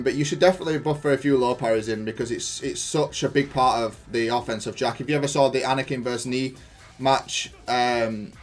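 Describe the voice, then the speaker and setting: A man giving casual gameplay analysis of his own match in an informal, matter-of-fact, self-critical way. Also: mid-range voice. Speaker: gaming content creator reviewing own match.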